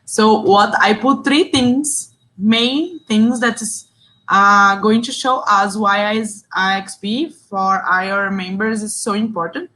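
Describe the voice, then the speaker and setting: A young Brazilian woman runs an online workshop in accented English, her voice sitting in a middle register. She reads participants' chat replies aloud and answers each with a quick word of praise. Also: medium pitch, bright, forceful tone, rough recording. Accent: Brazilian Portuguese. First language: Portuguese. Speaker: session facilitator.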